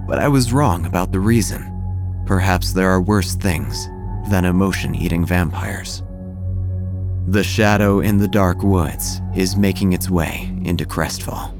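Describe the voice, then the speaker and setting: An actor playing a melancholy, haunted obituary writer, narrating gothic horror in a solemn, literary manner. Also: male voice, sits low, a little slow, music in the background. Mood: ominous. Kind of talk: scripted horror audio drama narration.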